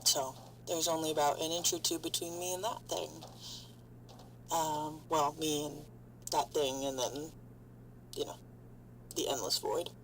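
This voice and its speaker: Woman playing stranded space crew member doing ship logs, low pitched, halting, frightened and swearing, audio drama, bit of hum behind her. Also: fairly even intonation, low-quality recording. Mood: tense, weary. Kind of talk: audio drama monologue as ship's log.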